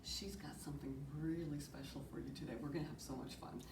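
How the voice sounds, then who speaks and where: A woman in her forties, a youth librarian, welcoming children to a storytime video in a bright, upbeat way. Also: low voice, steady tone, fast talker, vocal fry. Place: small room indoors on a sofa. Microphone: far camera. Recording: roomy, distant, noticeable hiss.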